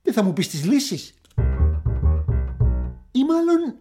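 A patronizing veteran writer. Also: female voice, high-pitched, sing-song, dark tone. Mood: eager. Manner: patronizing, coaxing. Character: seasoned male writer advising a young journalist. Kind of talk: radio play acting. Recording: music playing underneath.

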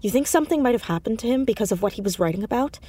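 A woman playing an anxious, earnest high school girl in an audio drama, hesitant but insistent as she talks. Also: expressive, racing, dark tone, husky. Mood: thoughtful. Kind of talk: naturalistic audio drama acting.

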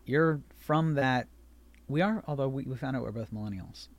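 Man in his thirties, podcast host, easygoing rambling chatter, mid-pitched voice. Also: moderate pace. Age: 30s. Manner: rambling, chatty, self-correcting. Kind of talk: casual chat.

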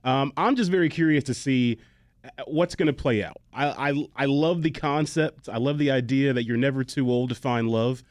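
A man's voice: medium pitch, normal speed, no echo, studio quality. A man, a reporter and podcast co-host, chatting on a radio panel in a jokey, laughing way. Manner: jokey, laughing, repeats words. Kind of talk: casual panel chat.

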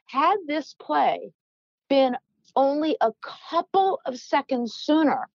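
A middle-aged woman, a former team executive, telling a story as a podcast guest in a loud, animated way that gets worked up. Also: high voice, takes it slowly, creaky, no echo, highs cut off. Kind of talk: storytelling in conversation.

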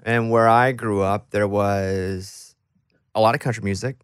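A man's voice: on the low side, steady tone, a little slow, smooth, no room sound, studio quality. A man, hosting a casual interview in a chatty, informal way. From Arkansas, USA. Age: 30s to 40s. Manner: chatty, informal, talks at length before questions. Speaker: interview host.

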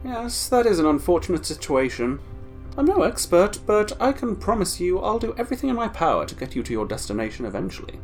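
A man's voice: sits high, brisk, faint music behind. An actor playing a polite, unflappable male conductor of a time-travelling train in an audio drama. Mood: courteous. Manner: courteous, formal, lively rising tones.

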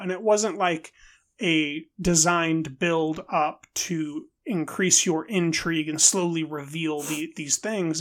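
A film podcast co-host in an analytical discussion, building wordy arguments with hesitant, repeated words. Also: mid-range voice.

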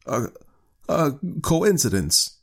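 A man's voice: mid-range voice, big pitch swings, measured pace, darkish tone, husky, pitched above the performer's own voice, heavily acted. A man voicing a fearful but tender old husband in a storybook, speaking in a faltering, unsteady way.

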